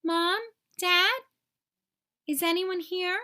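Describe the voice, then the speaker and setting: A woman's voice: very high-pitched, fairly even intonation, extremely slow, sharp tone, voice pushed up, full character voice. A woman voicing a brave, earnest, homesick young boy in a children's storybook. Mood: hopeful. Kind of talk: children's storybook read-aloud.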